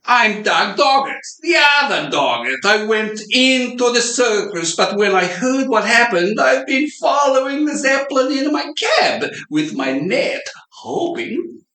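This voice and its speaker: An amateur actor playing an excitable cab driver in a community radio play, recorded in an echoey room. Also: male voice, sits high, measured pace, distant mic, exaggerated voice. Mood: excited. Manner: excitable, loud. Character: excitable male cab driver and circus acrobat.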